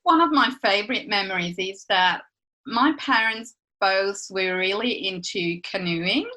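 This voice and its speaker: A middle-aged woman from Switzerland with a Swiss accent, co-hosting a podcast in relaxed, chatty talk that often breaks into laughter. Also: medium pitch, measured pace, rough recording. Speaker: podcast co-host. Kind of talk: casual podcast chat.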